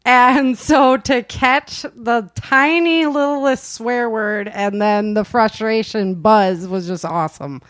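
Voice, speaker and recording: A woman with a fairly high voice chats casually as host of a show. The sound is dry and close, and her delivery is conversational. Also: slow, hardly any pauses, a bit bright, dry recording. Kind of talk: casual interview chat.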